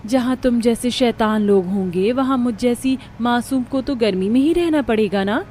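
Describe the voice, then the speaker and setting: A woman playing an irritable, city-bred young daughter-in-law in an animated family story, grumbling and sarcastic. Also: on the high side, normal speed, a little hiss or hum, close to an everyday voice. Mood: sulky, sarcastic. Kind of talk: Hindi animated family-drama story acting.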